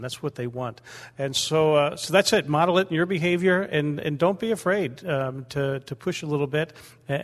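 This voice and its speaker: A man, a church leader, speaking earnestly on a panel and often restarting his words. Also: fairly high pitch. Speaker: pastor. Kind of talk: panel talk. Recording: hall reverb.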